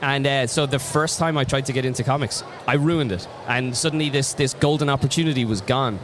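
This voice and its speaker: A man's voice: mid-range voice, fairly quick, background noise. A man, a comic artist, chatting as an interview guest and telling reflective stories with frequent restarts. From Ireland. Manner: reflective storyteller, many restarts. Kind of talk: casual interview chat.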